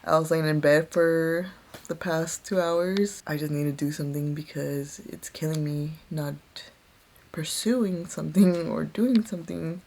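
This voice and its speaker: A woman of twenty-nine speaks in a casual personal vlog. Her voice sits a little on the low side, and she talks in a candid, reflective way, as if thinking through her own life. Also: fairly even intonation, measured pace, mellow, a little hiss or hum. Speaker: vlogger.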